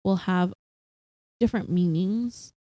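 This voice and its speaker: A young woman co-hosting a casual chat podcast, animated and quick to laugh, full of fillers. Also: on the low side, no room sound. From Philippines, raised partly in Singapore. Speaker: podcast co-host.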